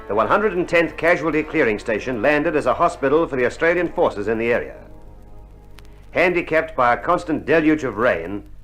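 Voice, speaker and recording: A man giving solemn, scripted war documentary narration in a formal, measured manner, in a 1960s style. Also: medium pitch, a bit bright.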